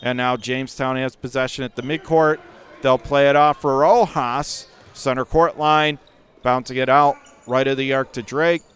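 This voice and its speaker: A man calling radio play-by-play of a high school basketball game, in rapid clipped calls that jump up and turn to shouts on big plays. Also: steady tone, clean tone.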